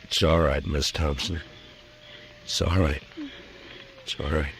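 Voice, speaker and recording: An actor playing a calm, stern frontier marshal in an old radio western, speaking in a terse, authoritative way. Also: male voice, very deep voice, slow pace, a little hiss or hum, full character voice. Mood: grave, soothing. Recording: vintage radio recording, faint music.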